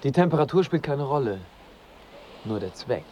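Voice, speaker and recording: A man's voice: medium pitch, very animated, moderate pace, mellow, a little hiss or hum, close to an everyday voice. An actor in a radio drama playing a married woman's earnest, evasive lover, speaking formally and with understatement. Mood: earnest, pleading.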